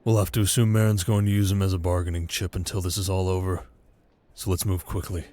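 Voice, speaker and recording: A man playing a shrewd, wary merchant baron in an audio roleplay, speaking in a measured, dry, guarded way. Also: sits low, flat delivery, dark tone, close to an everyday voice. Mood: urgent, commanding. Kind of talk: ASMR audio roleplay acting.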